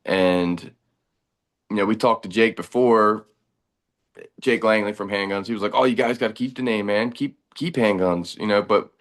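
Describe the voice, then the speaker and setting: A man in his thirties, a band's bass player, talking in a relaxed, rambling way during a casual chat. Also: normal speed. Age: 30s.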